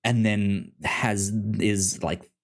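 A man's voice: lowish voice, steady tone. A young man co-hosting a casual podcast about comics, with lots of stammered restarts and fillers. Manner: halting, many restarts and fillers. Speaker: podcast co-host. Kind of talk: casual comic-book discussion.

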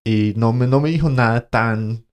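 A man's voice: mid-range voice, normal speed, smooth. A man co-hosting a podcast, telling a story in casual conversation, hesitating and searching for words as he goes. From Colombia. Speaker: podcast co-host.

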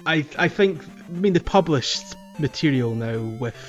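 A man's voice: on the high side, animated, unhurried. Man on a slightly lo-fi podcast mic. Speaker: podcast co-host. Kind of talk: casual podcast discussion. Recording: slightly dull, lo-fi mic, music behind.

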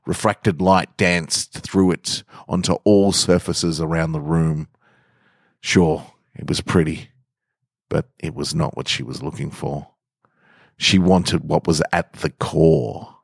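Deep-voiced man reading a story slowly. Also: creaky, no echo, studio quality. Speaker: podcast host and story reader. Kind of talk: literary story narration and podcast outro.

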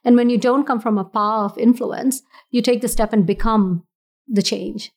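A woman's voice: fairly high pitch, steady tone, normal speed, a little mellow. A woman from Fiji, a business founder, talking earnestly and reflectively in a casual interview, often restarting her sentences. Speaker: entrepreneur guest.

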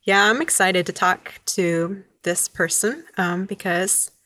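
A woman's voice: on the low side, slow pace, bright, forceful tone, dry recording. A woman co-hosting a podcast, chatting casually on a close mic. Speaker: podcast co-host. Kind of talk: casual chat.

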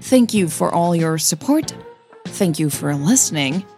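A woman's voice: on the low side, lively intonation, measured pace, darkish tone, soft background music. A woman writer narrating her own audio drama series and addressing listeners in a scripted podcast style.

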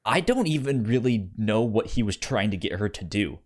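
A man hosting a podcast in casual chat, talking haltingly with repeated false starts. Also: on the low side, lively intonation. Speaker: podcast host.

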